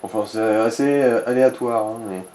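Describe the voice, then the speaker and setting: A man's voice: medium pitch, moderate pace, warm tone, slight background noise. A man giving directions and advice in a casual gaming chat, informal and peppered with crude slang.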